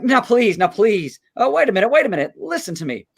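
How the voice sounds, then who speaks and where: A man acting an animated, stammering, earnest loan manager. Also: high voice, creaky, slightly rough, full character voice. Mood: anxious, pleading. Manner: stammering, halting, pleading. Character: earnest, flustered male small-town loan manager, kind-hearted. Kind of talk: live radio play acting.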